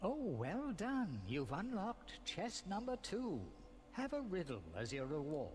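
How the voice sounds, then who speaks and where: An actor playing a sly, smugly courteous riddle-setting villain in a fantasy video game, with a theatrical, teasing delivery. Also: male voice, sits high, big pitch swings, unhurried, darkish tone, slight background noise.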